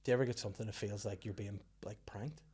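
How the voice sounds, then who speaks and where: A man's voice: lowish voice, fairly even intonation, fast talker, darkish tone, breathy edge. A man with a Northern Irish accent hosting a casual comedy chat, rambling and bantering as he goes. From Northern Ireland. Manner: bantering, rambling, slips into acted quotes. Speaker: podcast host, comedian and actor.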